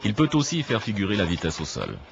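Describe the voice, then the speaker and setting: A man reading a scripted documentary narration in a measured, didactic manner. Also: on the low side, huge pitch swings, muffled recording, background noise. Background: clear background music.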